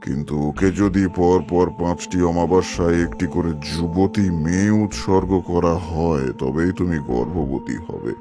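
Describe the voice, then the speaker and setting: A grave occult priest and storyteller, played by a man, with a low voice and solemn, measured delivery. It is scripted cartoon horror narration, with music clearly playing behind it. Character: grave male tantric priest narrating a ghost tale.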